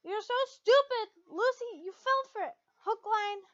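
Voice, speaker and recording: Woman doing high voiced hot-headed teen schoolgirl, emotional n stammery, fanfic story, lofi sound. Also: unhurried, fairly bright tone, no echo, muffled recording. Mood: hurt, furious. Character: hot-headed, heartbroken teenage schoolgirl bride.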